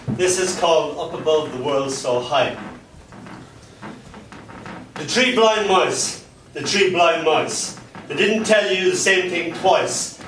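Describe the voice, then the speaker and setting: A man performing dark comic poetry and stories live, with a theatrical delivery and dramatic pauses. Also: a little high. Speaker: spoken word poet. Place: spoken word venue in a London pub. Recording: echoey live venue, distant mic, background noise.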